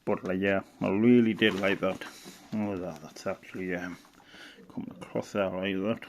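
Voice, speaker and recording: A man's voice: sits low, steady tone, moderate pace, darkish tone, a little hiss or hum. A middle-aged man giving a casual, rambling show-and-tell as a hobbyist, hesitant and thinking aloud. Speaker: radio hobbyist presenter.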